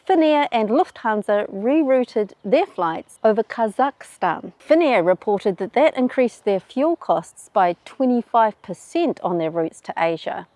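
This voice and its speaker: A woman with a New Zealand accent hosting a travel explainer in a brisk, wry, plainspoken way. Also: fairly high pitch, a little mellow, no echo. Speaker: travel video host. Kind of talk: scripted explainer.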